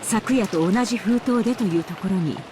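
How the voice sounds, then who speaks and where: An actress plays a dignified middle-aged lady of a wealthy house in a visual novel. Her mid-pitched voice is brisk, formal and commanding, with heavy rain behind it. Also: noisy background, close to an everyday voice. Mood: grave, displeased.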